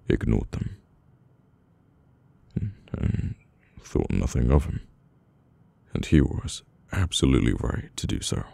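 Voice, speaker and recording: A man with a British accent playing a brooding, domineering, burdened mafia boss in an intimate, halting ASMR audio roleplay. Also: mellow, no room sound, full character voice. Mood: bitter, somber. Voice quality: very deep, gravelly. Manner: slow, halting, weary menace.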